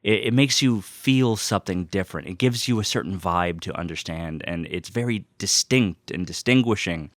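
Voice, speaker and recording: Very deep-voiced man, casual and enthusiastic. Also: normal speed, hardly any pauses, fairly bright tone, no room sound, studio quality. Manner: enthusiastic, profane, emphatic repetition. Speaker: vlogger unboxing vinyl and merchandise. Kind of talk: casual, rambling fan chat to viewers.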